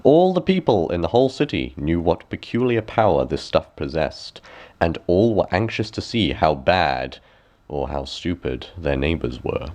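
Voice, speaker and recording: A man reading a fairy tale aloud as an expressive storyteller, with measured, deliberate phrasing. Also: low-pitched.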